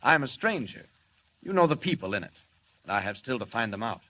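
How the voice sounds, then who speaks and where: A noble superhero from an old 1940s radio serial. His voice is bright and forceful, swinging widely in pitch. He speaks formally and declaims with grave earnestness. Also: very animated, brisk, low-quality recording. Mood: grave, earnest. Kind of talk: 1940s radio drama acting. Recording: vintage 1940s radio recording.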